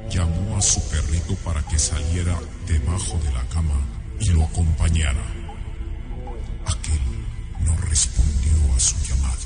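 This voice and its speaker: A man playing a grave, sinister storyteller with a Mexican accent, narrating a horror radio drama slowly and ominously. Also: extremely low pitch. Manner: slow, ominous, deliberate. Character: grave, sinister male teller of a horror tale. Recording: loud music under the voice. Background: loud music.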